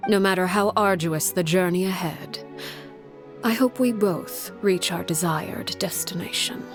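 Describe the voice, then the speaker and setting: A woman acting as a commanding female leader from a game drama, her voice on the low side. She is stern, formal and composed, measuring each statement over a music bed. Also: unhurried, lightly acted. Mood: calm, menacing. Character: commanding, composed woman heading a secret orphan family, called Father. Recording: music behind the voice.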